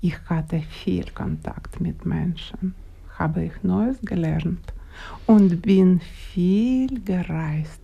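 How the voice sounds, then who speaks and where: Slow, gravelly older Ukrainian woman. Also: fairly low pitch, mellow, highs cut off. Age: 60s. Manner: slow, halting, searching for words. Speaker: radio guest. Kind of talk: live studio interview. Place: radio studio.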